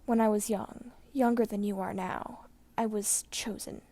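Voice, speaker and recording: A woman voicing a frail, wise old man on his deathbed, speaking weakly and breathily with halting phrases. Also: mid-range voice, fairly even intonation, warm tone. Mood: weary grief. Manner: weak, breathy, halting speech. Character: frail dying old man, wise, grieving former warrior.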